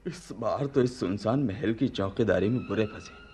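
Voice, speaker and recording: A man playing a grumbling, superstitious palace watchman in a radio play, with a husky, colloquial delivery. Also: on the low side, normal speed, dark tone. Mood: weary grumbling.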